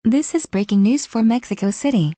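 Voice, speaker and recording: A woman's synthetic text-to-speech voice reading scripted emergency news in a formal, measured manner. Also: on the high side, expressive, dark tone, no echo.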